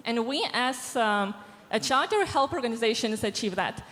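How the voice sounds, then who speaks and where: A woman, answering panel questions through a handheld mic, measured and pausing with many fillers. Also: on the high side, animated, a bit bright. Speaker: panelist from a diversity organization. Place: large event hall stage. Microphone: handheld microphone. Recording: reverberant hall sound.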